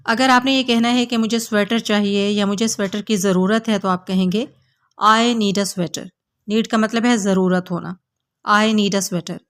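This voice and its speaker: Slow, careful woman tutor. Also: mid-range voice. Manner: repeats English phrases very slowly, explains in Hindi-Urdu. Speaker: English teacher. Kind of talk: slow teaching drills.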